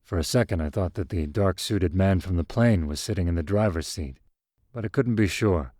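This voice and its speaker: Male narrator, scripted first-person fiction. Fairly low voice, very dry sound. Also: moderate pace, dark tone, dry recording.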